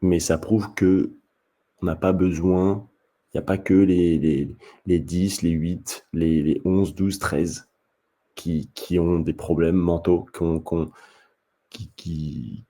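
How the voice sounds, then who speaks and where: A man who hosts his own channel, giving casual spoken commentary straight to the viewer, often halting and restarting mid-sentence. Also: deep voice, fairly even intonation, mellow. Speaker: table tennis coach and channel host.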